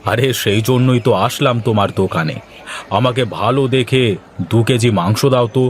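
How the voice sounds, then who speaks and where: A man's voice: medium pitch, fairly even intonation, normal speed, a little hiss or hum, close to an everyday voice. A man voicing a devoted village son and firm husband in a cartoon. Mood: brisk, matter-of-fact. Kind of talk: cartoon voice acting.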